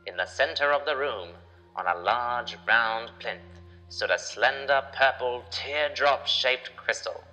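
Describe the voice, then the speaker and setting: A man's voice: medium pitch, measured pace, pushed and bright, faint music behind, rough recording. A man giving scripted, dramatic narration of a children's adventure story.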